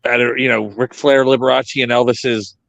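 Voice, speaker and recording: A man co-hosting a casual podcast, cracking deadpan jokes. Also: mid-range voice, moderate pace, gravelly, no echo. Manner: jokey, deadpan wisecracks. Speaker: podcast co-host. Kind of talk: casual joking chat. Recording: dull dry lo-fi mic.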